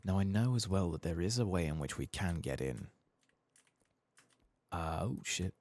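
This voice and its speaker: A soft-spoken man murmuring unhurried ASMR game commentary, often slipping into a whisper as he muses to himself. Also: sits low, expressive. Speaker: gaming streamer, ASMR channel host.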